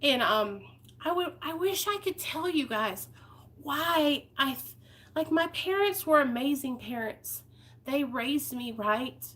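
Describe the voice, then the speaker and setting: A woman's voice: high-pitched, expressive, takes it slowly, fairly bright tone. A woman, talking candidly and heartfelt to her viewers in a personal vlog. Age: 30s. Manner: candid, heartfelt, rambling with fillers. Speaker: vlogger.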